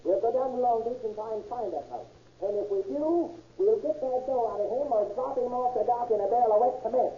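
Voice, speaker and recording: Hot-tempered gangster, high-pitched, threatening, slangy, old radio drama, thin band-limited recording. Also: male voice, expressive, brisk, dull audio. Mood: impatient, menacing. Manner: pushy, tough-talking, slangy. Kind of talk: 1940s radio crime drama acting.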